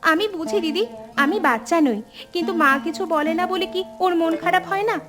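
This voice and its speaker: A woman acts a young village woman in an animated family story. Her voice is high and outspoken, that of a feisty younger sister always ready to protest, with music playing underneath. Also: normal speed. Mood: earnest. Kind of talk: animated family drama dialogue.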